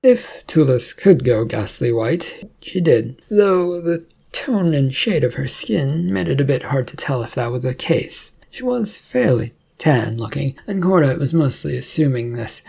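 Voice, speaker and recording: A man narrating a serial sci-fi story in a plain, slightly halting reading style. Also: on the high side, creaky, mellow, breathy edge.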